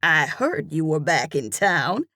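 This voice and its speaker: A woman narrator takes on a gossipy Southern cashier in her middle years, loud and teasing, with a thick Southern US accent. She sits well above the narrator's own fairly low voice. The read is dry and close, with no room around it. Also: big pitch swings, slow, dry recording, exaggerated voice.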